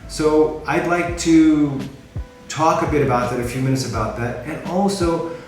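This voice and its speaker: A middle-aged American man from California, talking casually to the camera in a measured way and often rephrasing himself. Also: a little high, a little mellow. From California, USA. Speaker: freelancer presenting himself. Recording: very echoey room, mic at a distance, music behind.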